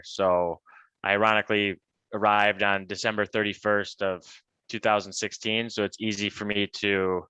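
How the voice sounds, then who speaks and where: American man, 30s, interview guest; crisp, bright voice, laid-back and halting. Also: lowish voice, dry recording, poor audio. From Michigan, US. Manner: relaxed, halting, many restarts. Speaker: guest, cannabis advisor. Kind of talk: casual interview talk.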